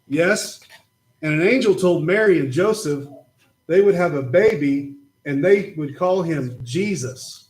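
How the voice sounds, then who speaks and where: Man, high voice, patient children's Bible storyteller opposite a puppet. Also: animated, a little slow, low-quality recording. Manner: patient, gently explaining. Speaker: puppet show presenter. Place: church.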